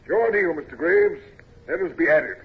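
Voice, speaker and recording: Hearty senior naval lieutenant, bluff and coaxing, raspy tone, tinny vintage radio sound. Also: male voice, fairly high pitch, expressive, normal speed. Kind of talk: 1940s radio acting. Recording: tinny phone-like old radio recording.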